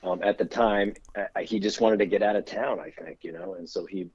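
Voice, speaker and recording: A man's voice: low voice, steady tone, brisk, darkish tone, breathy edge, dry recording, muffled recording. A middle-aged man, a guest on an interview show, telling easygoing, rambling anecdotes about growing up. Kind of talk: casual storytelling interview.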